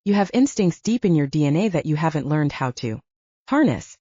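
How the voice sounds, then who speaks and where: A woman voicing a blunt, brisk female investigator who coaches like a mentor in an audiobook. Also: low voice, big pitch swings, mellow, dry recording. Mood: brisk, commanding. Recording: dull lo-fi, very dry.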